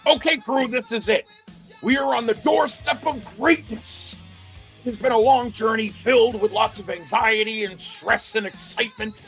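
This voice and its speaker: A man's voice: very high voice, vocal fry, a bit bright, full character voice. A man playing a fervent, defiant wrestling promoter who rallies his crew with grandiose speeches in an audio play. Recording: faint music behind the voice.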